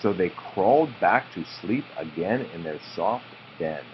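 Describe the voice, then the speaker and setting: Expressive dad reading a storybook, muffled recording. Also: male voice, sits low. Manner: slow, drawn-out storytelling delivery. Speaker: parent reading to a child. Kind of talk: read-aloud of a children's picture book, with informal asides. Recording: muffled home recording with background noise.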